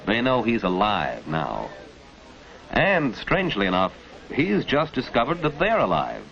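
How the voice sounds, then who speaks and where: A knowing American narrator of a postwar guidance film, low and creaky. His instructive, deliberate delivery swings widely in pitch, on a dull vintage soundtrack. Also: wildly swooping pitch, fairly bright tone, full character voice. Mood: approving, instructive. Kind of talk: 1940s educational film narration.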